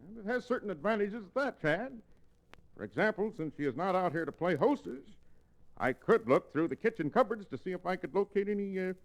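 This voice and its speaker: A pompous old-time radio western sidekick. His voice sits high and swings widely as he rolls out florid words with great self-satisfaction. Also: animated, fairly quick, low-quality recording. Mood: pompous, amused. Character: wordy, self-satisfied sidekick with a taste for drink.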